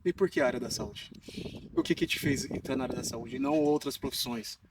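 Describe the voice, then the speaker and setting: Low-voiced woman hosting an interview. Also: animated, brisk, distant mic, background noise. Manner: short backchannels, leading questions. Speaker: interview host. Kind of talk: casual interview chat.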